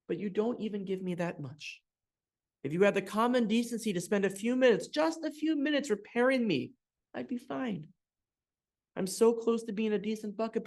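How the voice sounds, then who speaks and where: A storyteller voicing an angry, self-pitying cracked bucket that accuses its owner in a raised, strained voice. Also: lightly acted. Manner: indignant, raised pitch, accusing.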